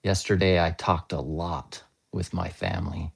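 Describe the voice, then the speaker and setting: A man with a hoarse, scratchy voice, hosting a casual live-stream English lesson and patiently answering viewer comments, often spelling words out. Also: deep voice, measured pace. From United States.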